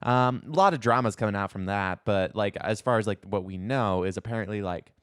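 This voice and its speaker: A man with a fairly low voice chats casually as a podcast co-host. He tends to voice imagined reactions as short quotes, and the sound is very dry with no room to it. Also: a little fast, a bit bright, clear, resonant tone, no echo. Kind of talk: casual chat.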